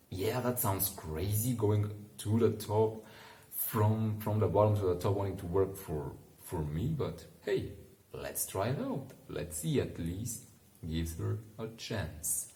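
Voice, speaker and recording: A man reading a bedtime book aloud in a relaxed, informal way, pausing to muse with casual asides. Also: on the low side, roomy sound, rough recording. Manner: unhurried, musing, informal. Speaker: bedtime story reader and commentator. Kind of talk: relaxed read-aloud with casual asides to help listeners sleep.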